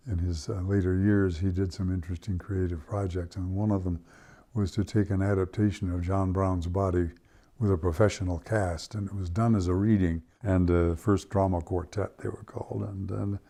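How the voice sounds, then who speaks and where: An older man speaking reflectively in an interview, with measured, articulate and deliberate phrasing. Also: sits low, dark tone, breathy edge. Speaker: theatre founder and director.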